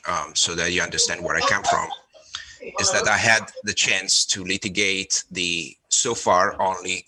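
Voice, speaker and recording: Deep, bright-toned man. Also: breathy edge, rough recording. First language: Italian. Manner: halting, with many repeated words. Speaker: law professor, panelist. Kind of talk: conference talk on a webinar.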